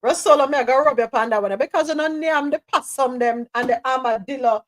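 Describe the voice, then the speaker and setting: A woman's voice: high voice, brisk, no room sound, clean studio sound. A woman with a Jamaican accent hosting a live radio chat show, reading articles aloud and laughing as she slips into patois. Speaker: host.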